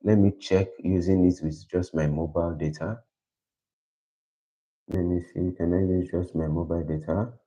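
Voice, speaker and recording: A man with a deep voice hosting a livestream, thinking aloud in a casual, rambling way and correcting himself as he goes. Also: steady tone, moderate pace. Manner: rambling, self-correcting, plans out loud. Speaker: livestream host. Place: quiet indoor room. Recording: dull lo-fi livestream audio.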